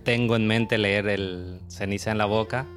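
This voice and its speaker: A man with a fairly low voice hosts an interview podcast. He speaks informally, like someone in conversation, and guitar music plays clearly behind him. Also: steady tone, moderate pace, fairly bright tone.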